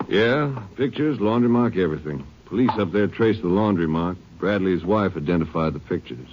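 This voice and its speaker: A folksy American westerner, low-pitched and plain-spoken, in a radio drama. The old recording is muffled and has faint noise. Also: male voice, lively intonation, normal speed. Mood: businesslike. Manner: folksy, casual. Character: plain-spoken, folksy Texan man, lawman or local. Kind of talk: 1950s radio western acting.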